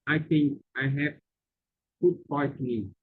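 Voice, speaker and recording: A man's voice: mid-range voice, a little slow, slightly rough, dry recording. A man learning English, answering hesitantly and repeating phrases after his teacher. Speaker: language student. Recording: dry, tinny phone-like sound.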